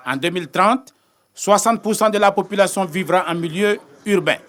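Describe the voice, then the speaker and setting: A man's voice: high-pitched, moderate pace, a bit bright. A man narrating a scripted television news report as a reporter.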